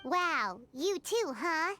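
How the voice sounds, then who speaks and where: A woman voicing a tiny, cheeky, childlike fairy companion in a video game, with a squeaky, exclaiming delivery. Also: big pitch swings, very slow, bright, forceful tone, faint music behind, exaggerated voice. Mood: skeptical. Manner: exclaiming, refers to herself in third person.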